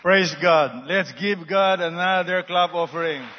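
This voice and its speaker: A man's voice: sits high, measured pace, crowd noise, noisy background. A male pastor with a slightly raised voice preaches emphatically, urging his congregation on. His voice carries through a long hall reverb on a somewhat dull recording. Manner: emphatic, exhorting. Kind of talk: sermon.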